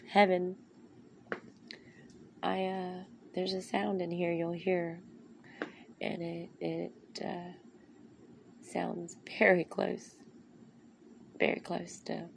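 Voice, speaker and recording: A woman hosting a podcast, speaking in an earnest, rambling, heartfelt way with a soft, soothing voice. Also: lowish voice, vocal fry, a little hiss or hum. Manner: earnest, rambling, with restarts and asides. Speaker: podcast host. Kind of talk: heartfelt motivational monologue.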